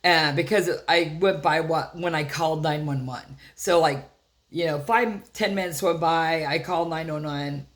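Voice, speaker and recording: Deep-voiced older woman telling a story. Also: normal speed. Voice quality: deep rough voice. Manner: rambling, lots of fillers and restarts. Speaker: vlogger. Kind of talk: casual storytelling to camera. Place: inside a house.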